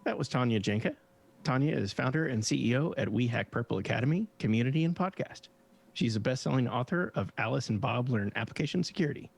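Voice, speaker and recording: A man hosting a developer podcast, relaxed and loose, with plenty of fillers while wrapping up. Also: mid-range voice, fast, gravelly, husky. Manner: relaxed, many fillers and restarts. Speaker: podcast host. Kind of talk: casual podcast wrap-up.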